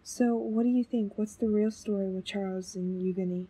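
A woman's voice: mid-range voice, steady tone, moderate pace, mellow, husky, lightly acted, rough recording. A woman playing a thoughtful, inquisitive investigator's companion in an audio drama, with a musing, questioning manner. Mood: curious, musing. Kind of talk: fan audio drama acting.

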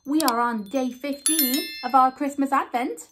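A woman's voice: sits high, measured pace, noisy background. A woman in her thirties hosting storytime, reading aloud to young children in a sing-song way.

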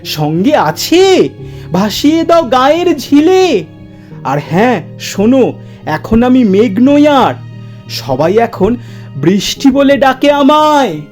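Capricious young cloud spirit girl for a recited poem, voiced by the reciter: high, lilting, imperious, music underneath. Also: big pitch swings, takes it slowly, long pauses, darkish tone, heavily acted. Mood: airy, dismissive. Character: capricious young cloud-girl turned rain, bossy, airy. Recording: music bed behind the voice.

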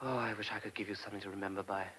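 An actor playing a decent, anxious gentleman on the run in a radio drama, polite and educated in his phrasing. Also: lowish voice, flat delivery, racing, slight background noise. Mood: tender, regretful. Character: well-spoken gentleman fugitive, anxious, decent, resigned.

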